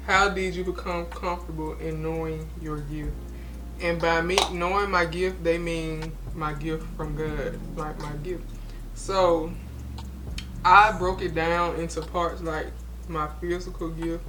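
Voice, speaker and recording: A woman's voice: low-pitched, flat delivery, a little slow, noisy background. A woman, chatting casually to her camera while doing her makeup at home. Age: 20s. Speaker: beauty and faith vlogger. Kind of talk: casual get-ready-with-me chat. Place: home, at a mirror.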